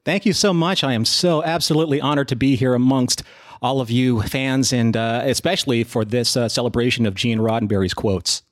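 A man's voice: medium pitch, fairly even intonation, fairly quick, no echo, clean studio sound. A man giving conversational commentary as a podcast guest host, feeling his way through his phrases.

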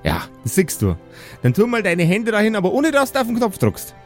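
A man's voice: high voice, wildly swooping pitch, a little fast, faint music behind, voice pushed up. A man with a Bavarian accent voicing an inventive, bossy mother who instructs her grown sons in an improvised fantasy audio game. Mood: impatient. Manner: instructing, exasperated, rustic.

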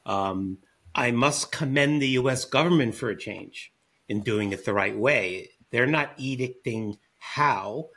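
A man with a husky, mid-range voice, a guest expert on a podcast panel. He explains at length with calm confidence. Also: medium pitch, unhurried. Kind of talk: panel discussion.

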